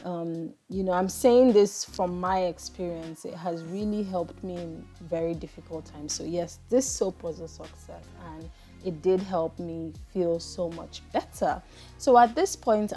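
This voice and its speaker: A woman's voice: on the low side, mellow. A woman in her thirties with a Nigerian accent, chatting to viewers in a reflective, casual voice-over while she makes soap. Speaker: soap maker and channel host.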